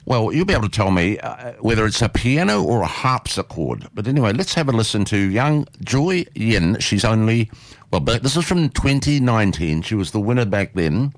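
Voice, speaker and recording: Aussie guy on community radio, lowish voice, rambly folksy chat, super animated up and down. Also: moderate pace, dry recording, clean studio sound. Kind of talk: casual chat, interviewing a studio guest. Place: radio studio. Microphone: close studio microphone.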